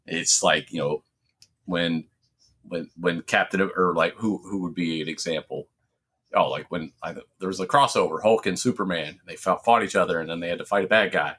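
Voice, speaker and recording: A man's voice: sits low, normal speed, fairly bright tone, breathy edge. A middle-aged man chatting casually about pop culture as a podcast co-host, rambling and telling stories with some swearing.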